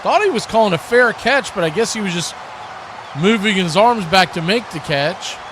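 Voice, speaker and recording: A man's voice: high-pitched, expressive, normal speed. A man doing live play-by-play commentary of an American football game as the announcer. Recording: loud background noise.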